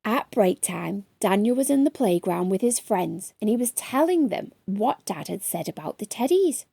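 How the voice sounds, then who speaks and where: A woman reading a children's bedtime story aloud with expressive, careful phrasing. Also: medium pitch, normal speed, gravelly, dry recording.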